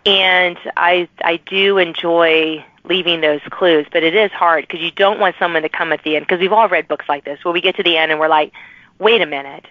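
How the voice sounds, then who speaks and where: A woman's voice: on the low side, fairly even intonation, normal speed, dry recording. A woman hosting a podcast, chatting casually and agreeably with her guest about writing. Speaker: podcast host and author. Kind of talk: casual interview chat. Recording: dull, lo-fi remote audio.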